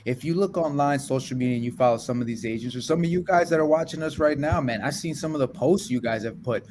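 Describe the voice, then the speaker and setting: A man's voice: mid-range voice, fast talker, a little mellow, a little hiss or hum. A man co-hosting a real estate podcast, talking in a blunt, streetwise, casual way. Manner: streetwise, blunt, says "yo" and "folks".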